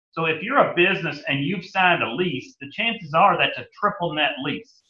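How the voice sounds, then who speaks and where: A man who owns a restaurant, talking as a guest in an interview and making his case emphatically, with many uh's and restarts. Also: fairly high pitch. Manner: emphatic, argumentative, with frequent fillers. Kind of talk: interview discussion. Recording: echoey, muffled.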